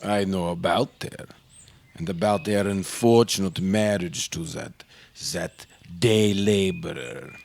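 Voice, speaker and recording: A man acts out an older scientist for an old-time radio play, guarded and haughty. His voice sits low and he takes his time, with formal, halting phrasing and a dramatic intensity. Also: creaky, a little mellow, exaggerated voice. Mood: disdainful.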